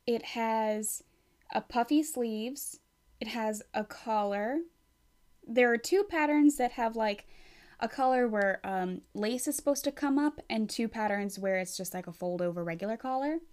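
A woman's voice: on the high side, measured pace, a little mellow. A woman hosting her own podcast in a casual, rambling way, chatty and frank, with some swearing. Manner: rambling, with many fillers and restarts. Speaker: podcast host. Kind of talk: casual solo podcast chat.

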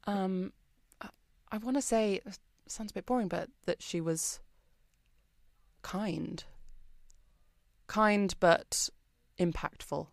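A British woman being interviewed on a podcast, talking in a chatty, expressive way with plenty of ums and likes. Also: mid-range voice, slow pace, vocal fry, long pauses, no echo, studio quality. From Britain. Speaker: podcast guest. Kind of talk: casual interview chat.

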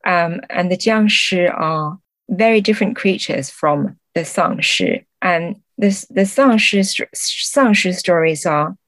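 A woman's voice: lowish voice, takes it slowly, fairly bright tone, no room sound. A woman speaking as a podcast guest and translator, explaining literature thoughtfully and often searching for her words.

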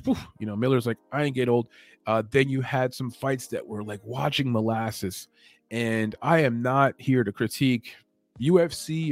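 A man hosting a late-night MMA show, talking in a calm, rambling, laid-back way. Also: mid-range voice. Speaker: MMA podcast host. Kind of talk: late-night solo show, casual chat.

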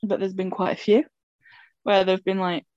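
A woman's voice: medium pitch, flat delivery, normal speed, a little mellow, dry recording, dull audio. A woman co-hosting a podcast in casual chat, rambling and chatty, laughing often. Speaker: podcast co-host.

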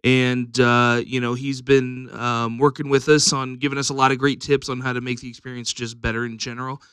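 A man who runs comedy showcases, chatting casually on a podcast and pausing often with fillers. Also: mid-range voice, level tone, a bit bright, clear, resonant tone, dry recording, clean studio sound. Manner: hesitant, many fillers.

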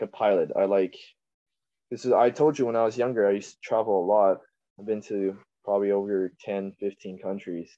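A young man from Taiwan, a podcast guest chatting casually, laid-back, laughing often and trailing off quietly. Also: lowish voice, highs cut off. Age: young adult. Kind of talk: casual chat.

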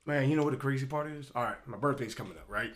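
A man in his forties hosting a casual podcast chat, telling stories in an animated, joking way. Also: medium pitch, very fast. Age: 40s. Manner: animated storyteller, loose and joking. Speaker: podcast host.

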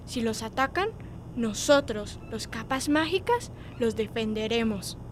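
A protective little girl, murmurs reassurance in a radio drama. Her voice is high, hushed and slow, with faint noise behind it. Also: lively intonation. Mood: gentle, secretive. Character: protective young migrant girl comforting new children. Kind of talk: radio theatre acting.

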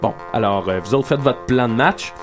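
A man's voice: medium pitch, moderate pace, over music. A man with a Québécois accent hosting a casual comedy debate, rambling with many hesitations. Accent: Québécois French. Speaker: debate show host. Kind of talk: casual comedic radio-style show.

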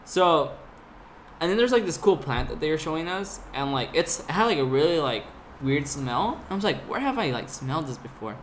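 A man's voice: fairly high pitch, normal speed. A young man from California, a podcast guest telling travel stories casually, with lots of "like" and laughter. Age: young adult. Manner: animated, laughing storyteller with lots of "like". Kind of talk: casual storytelling. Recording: faint background noise.

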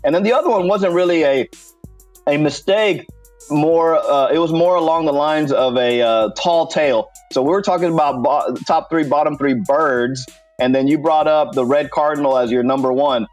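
A man's voice: fairly high pitch, moderate pace, soft background music. A man hosting a podcast, bantering casually about sports and funny stories in a teasing, mildly profane way. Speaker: podcast host.

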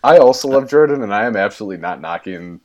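A man's voice: lowish voice, expressive, quick pace, darkish tone, dull audio. A young man co-hosting a podcast, chatting in an easygoing, agreeable, rambling way. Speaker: podcast co-host. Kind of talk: casual chat.

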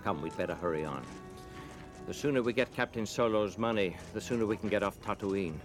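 An older man playing a wise, watchful mentor in a radio drama, speaking formally and with measured calm. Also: on the low side, close to an everyday voice. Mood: resolute. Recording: radio drama with music behind.

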